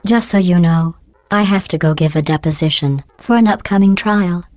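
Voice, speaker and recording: A woman voicing a cold, sarcastic female AI supercomputer in a video game, with a robotic vocal filter and a deadpan, passive-aggressive delivery. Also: lowish voice, normal speed, no room sound. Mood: deadpan mocking. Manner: deadpan, passive-aggressive insults in a calm clipped cadence. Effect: robotic vocal processing.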